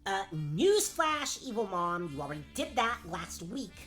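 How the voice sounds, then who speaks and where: A woman playing a sarcastic, sharp-tongued young girl who teases dryly in a comedic fairy-tale radio play. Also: medium pitch, big pitch swings, measured pace, faint music behind. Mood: snarky. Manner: dry, snarky, teasing.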